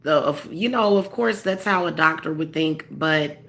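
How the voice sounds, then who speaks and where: A woman speaking as a spiritual medium in a casual monologue, congested, halting with many fillers and restarts, earnest and tender. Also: deep voice, vocal fry, fairly bright tone, slight background noise.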